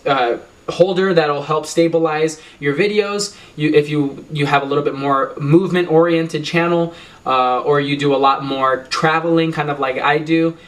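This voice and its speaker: A man's voice: a little high, fairly even intonation, normal speed. Young guy vlogging at his desk, bit stuffy from a cold, lots of um and you know, mic a little far off. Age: young adult. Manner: chatty, many fillers like "you know" and "um". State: recovering from a cold. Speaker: YouTube vlogger. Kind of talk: casual gear review to camera. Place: small home office, indoors.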